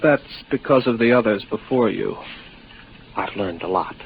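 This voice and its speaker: Low-voiced weary spaceman, old radio. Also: moderate pace, gravelly. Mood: tired, guarded. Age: 20s. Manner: wry, musing, sardonic. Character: weary lonely young spaceman, wry, rambling, half-mad. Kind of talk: 1950s radio drama acting. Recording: noisy muffled 1950s radio recording.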